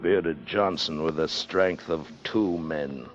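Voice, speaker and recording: An actor in a 1950s radio drama playing a hard, greedy ship's officer, commanding, with old-fashioned seafaring speech. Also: male voice, a bass voice, takes it slowly, highs cut off. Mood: brooding, scornful. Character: hard, scheming, greedy sailing-ship officer leading a treasure hunt. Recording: 1950 radio broadcast with music underneath.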